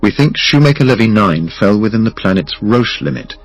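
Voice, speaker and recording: A man's voice: lowish voice, expressive, brisk, gravelly, muffled recording, faint music behind. A man narrating a scripted science documentary.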